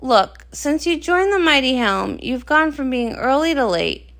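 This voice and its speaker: A woman's voice: high-pitched, sing-song, a little slow, fairly bright tone, exaggerated voice. Woman playing a stern, blunt female pony captain.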